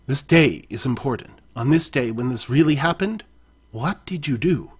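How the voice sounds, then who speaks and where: Man doing husky mysterious guide, urgent, steady explainer, storybook reading. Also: mid-range voice, moderate pace.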